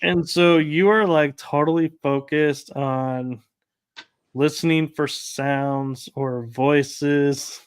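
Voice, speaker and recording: A man's voice: on the high side, steady tone, slow, clean tone. A man hosting a casual interview, telling rambling anecdotes with light laughs. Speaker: podcast host.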